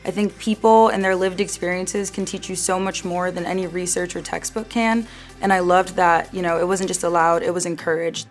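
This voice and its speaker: Young grad student talking fast about her program, casual and upbeat, soft music behind. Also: lowish voice, steady tone. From United States. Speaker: graduate student. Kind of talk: interview testimonial.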